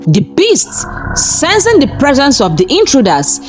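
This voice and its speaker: Expressive woman narrating a folktale over soft music. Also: high-pitched, unhurried. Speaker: storyteller. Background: background music.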